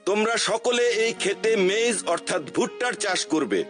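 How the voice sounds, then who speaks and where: A man's voice: high voice, moderate pace, music in the background, natural voice. A man voicing a cheery cartoon schoolteacher who gives children instructions. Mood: instructive.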